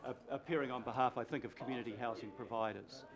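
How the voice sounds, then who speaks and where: A man chairing a formal council meeting in a halting, procedural way. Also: medium pitch, steady tone. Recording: public meeting room with crowd murmur.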